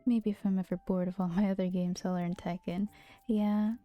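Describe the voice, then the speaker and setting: A woman streamer chatting casually in a soft, gentle, often half-whispered voice, with playful teasing and giggles, speaking close to the mic. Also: mid-range voice, flat delivery, moderate pace, lo-fi sound, faint music behind. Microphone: close to a regular mic.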